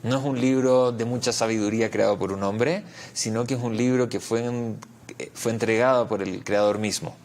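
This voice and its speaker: A man's voice: medium pitch, fairly even intonation, moderate pace, a little mellow. A man with a Chilean accent, a rabbi answering interview questions reflectively, explaining and repeating words as he finds his phrasing.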